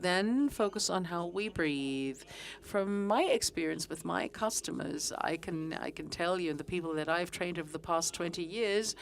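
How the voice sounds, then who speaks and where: A middle-aged woman giving a conference presentation, speaking haltingly with frequent pauses and fillers. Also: on the low side, slight background noise. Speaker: conference speaker on fitness training.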